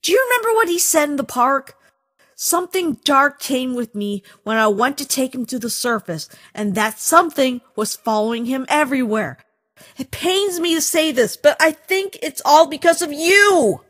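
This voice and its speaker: A woman's voice: high voice, expressive, moderate pace, a bit bright, long reverb tail. A woman voicing an earnest, troubled school-age child in a comic dub, pleading and emotional. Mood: pleading, upset.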